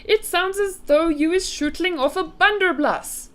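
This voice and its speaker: A woman voicing a huge, kindly, excitable male giant from a children's storybook, with muddled grammar and made-up words. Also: a little high, moderate pace, voice pushed up. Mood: pained, shouting. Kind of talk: children's storybook read aloud.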